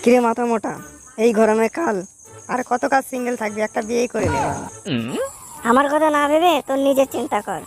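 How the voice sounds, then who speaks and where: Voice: medium pitch, lively intonation, brisk, over music, close to an everyday voice. A performer voicing a crude, quarrelsome young village man in a comic cartoon, brash, mocking and slangy. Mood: mocking, irritated. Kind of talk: comic cartoon dubbing, foul-mouthed banter.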